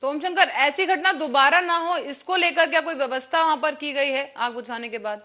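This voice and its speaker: A woman's voice: sits high, moderate pace, pushed and bright. A woman presenting the news as an anchor. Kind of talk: news presenting, scripted narration and live questions to a reporter.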